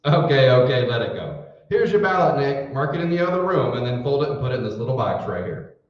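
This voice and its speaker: A man's voice: mid-range voice, expressive, fast talker, close to an everyday voice. A man playing a blustery, folksy, self-important husband in a 1940s radio comedy. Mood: brisk, blustery. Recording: muffled, echoey room, far from mic.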